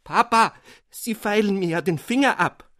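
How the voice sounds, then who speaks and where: A naive young storybook woman, put on by a male narrator, high and loud. She exclaims in a shrill, pleading way, recorded very dry. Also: normal speed, dry recording. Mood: pained, alarmed. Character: naive young woman, daughter crying out in pain.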